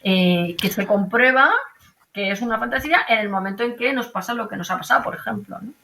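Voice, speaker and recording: Bright-voiced Madrid woman, thinking aloud. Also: mid-range voice, a little fast, gravelly, slight background noise. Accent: Madrid Spanish. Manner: thoughtful, many fillers and restarts, rhetorical tag questions. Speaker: archaeologist guest. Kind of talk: conversational interview. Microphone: video call.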